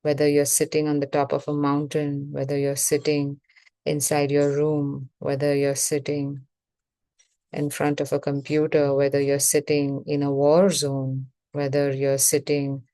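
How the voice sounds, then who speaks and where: A woman leading a guided meditation as facilitator, speaking in measured short phrases with pauses between them. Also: low voice, mellow, dry recording.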